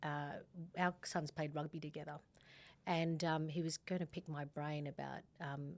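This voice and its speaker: A middle-aged Australian woman with a notably low voice, speaking as a podcast guest. She talks in a relaxed, chatty way, full of ums and self-corrections as she recalls her story. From Sydney, Australia. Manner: warm, chatty, many fillers and restarts. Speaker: guest, family law partner. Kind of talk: casual podcast interview.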